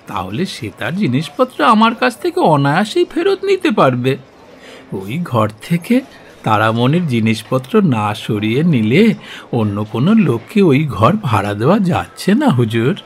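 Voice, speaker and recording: An obsequious, grasping landlord in an audio drama. His voice is fairly high and swings widely as he wheedles deferentially and haltingly. There is clear ambient noise behind him. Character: obsequious, grasping landlord pleading with a police officer.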